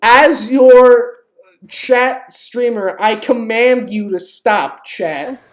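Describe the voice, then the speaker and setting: A woman's voice: a little high, drawn-out words. A woman giving casual live game stream commentary, informal and sweary, jokingly pleading with viewers. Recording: clearly noisy home stream, keyboard clicks.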